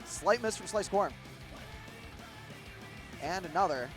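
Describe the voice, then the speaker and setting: A young man with a thick Midwestern accent gives live play-by-play for a rhythm game tournament. His voice sits a little high for a man, and he rambles with fillers and restarts. Loud game guitar music plays under his voice. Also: expressive, takes it slowly. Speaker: tournament commentator. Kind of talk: live esports play-by-play commentary.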